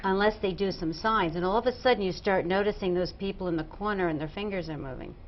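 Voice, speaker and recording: A woman's voice: medium pitch, quick pace. Casting: woman interview guest, plain and matter-of-fact, dull recording with faint hiss. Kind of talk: interview answers.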